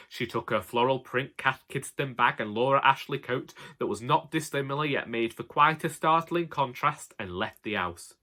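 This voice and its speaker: A man playing a long-suffering elderly Northern English husband, telling a comic monologue in a wry, deadpan way. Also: medium pitch. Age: older. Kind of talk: comic scripted monologue narration.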